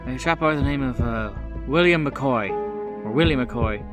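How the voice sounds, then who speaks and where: A man voicing a gruff mob boss in a tabletop game, businesslike and dismissive, with old-fashioned slang. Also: medium pitch, normal speed, over music, close to an everyday voice. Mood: irritated, guarded. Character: gruff male mob boss and bar owner, needling, businesslike.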